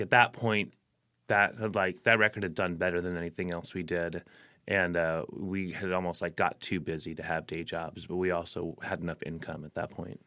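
Relaxed, rambling man musician. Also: sits low, clean tone. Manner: relaxed and rambling, full of "like" and "you know" fillers. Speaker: career musician, interview guest. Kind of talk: casual interview answers.

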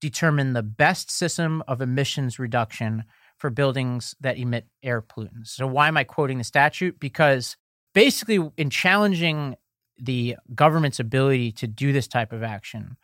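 Man, podcast co-host, mid pitch, explanatory, dry close sound. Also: moderate pace, a bit bright, no room sound. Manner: explanatory, walks through legal details step by step. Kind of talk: casual political podcast chat and ad read.

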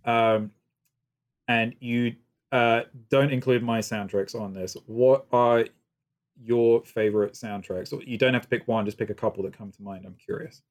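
A man's voice: medium pitch, steady tone, moderate pace. A man with a faint British accent, a guest composer chatting at length in a casual interview, enthusiastic and full of fillers. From Britain.

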